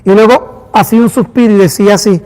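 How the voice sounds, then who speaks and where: A man with a Venezuelan accent and a high voice preaches to his congregation. He acts out little dialogues as he goes. The sound rings with a long reverb from a big hall. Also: quick pace. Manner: animated preaching with acted-out dialogue. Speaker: pastor. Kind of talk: sermon.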